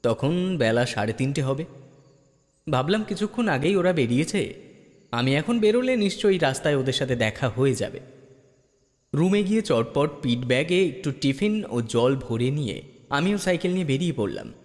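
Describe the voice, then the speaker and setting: A man's voice: a little high, big pitch swings, normal speed. A man narrating a ghost story in the first person, in a dramatic storytelling style. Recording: long reverb with music bed. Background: background music.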